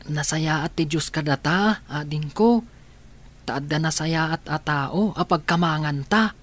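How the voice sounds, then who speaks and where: A performer playing a gentle, consoling older orphaned brother in a radio drama. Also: medium pitch, slow pace. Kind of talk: radio drama acting in Ilocano.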